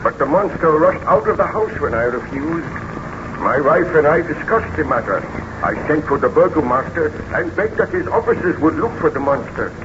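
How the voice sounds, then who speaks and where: A man playing a troubled, formal baron in a 1930s radio serial, speaking in a measured, theatrical way. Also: fairly high pitch, expressive, lightly acted. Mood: grave recounting. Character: troubled Baron recounting his tragic past. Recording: noisy, muffled 1930s radio recording.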